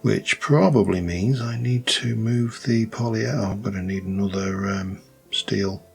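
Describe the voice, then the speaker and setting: A man with a light Yorkshire accent, rambling through casual gameplay commentary with many pauses and restarts. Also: on the low side, vocal fry, soft background music. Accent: light Yorkshire English. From Yorkshire, England. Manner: rambling, thinks aloud with many ums and restarts. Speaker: let's play host.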